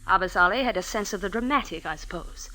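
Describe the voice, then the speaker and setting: An actress playing a defensive, scheming wife in an old radio mystery, with dramatic, clipped delivery. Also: female voice, mid-range voice, fast talker, bright, forceful tone, natural voice, low-quality recording. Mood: defensive, cool. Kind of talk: 1940s-50s radio acting.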